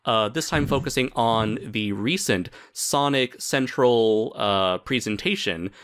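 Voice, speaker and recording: A man's voice: medium pitch, slow pace, fairly bright tone. A man hosting a discussion video, speaking hesitantly with many filler words. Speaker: host.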